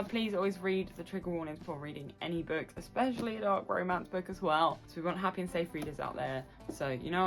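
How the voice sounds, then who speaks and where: A woman's voice: lowish voice, fairly bright tone. A woman hosting a casual book review vlog, talking informally and directly to her viewers and repeating phrases for emphasis. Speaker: book reviewer and video host. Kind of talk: casual chatty book wrap-up to camera.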